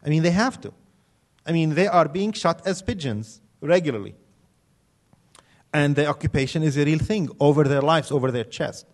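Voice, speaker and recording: A man's voice: fairly high pitch, moderate pace. A middle-aged man lecturing in an emphatic, ironic way, building his points in rhythmic lists. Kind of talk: critical commentary on film and culture.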